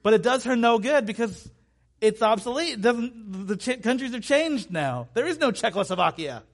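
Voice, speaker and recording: A man's voice: very high-pitched, expressive, moderate pace. A man in his thirties preaching a sermon in an emphatic way, repeating key phrases and slipping into conversational asides. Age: 30s.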